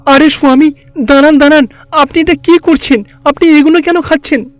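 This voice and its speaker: A man's voice: very high-pitched, fairly even intonation, normal speed, no echo, faint music behind, voice pushed up. A man voicing a frightened, humbled rich god-king in a cartoon fairy tale, pleading and flustered. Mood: panicked.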